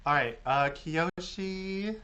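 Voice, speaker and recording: Host from California, mid pitch, talks casually with lots of ums over a video call. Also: slow pace, sharp tone. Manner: chatty, filler-heavy, upbeat. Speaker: panel host. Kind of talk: casual online panel chat.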